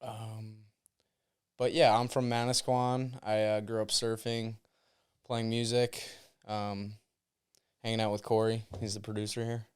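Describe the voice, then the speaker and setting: A man's voice: fairly low pitch, little pitch movement, unhurried, clear, resonant tone. A man in his twenties, a guest on a casual podcast in a small studio, easygoing and modest. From New Jersey shore. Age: 20s. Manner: laid-back, modest, easygoing.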